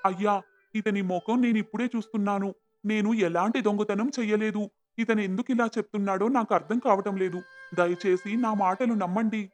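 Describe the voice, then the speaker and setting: Humble, devout poor villager played by a man, high-pitched, sincere, cartoon folk tale with soft music behind. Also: steady tone, moderate pace, close to an everyday voice. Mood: pleading. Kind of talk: cartoon moral-story acting. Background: background music.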